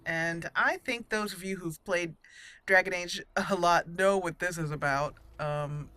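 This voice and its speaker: A woman with a slightly low but bright, forward voice hosts a game walkthrough. She chats casually and playfully, thinking aloud as faint game music plays behind her. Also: a little slow. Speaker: gaming video host.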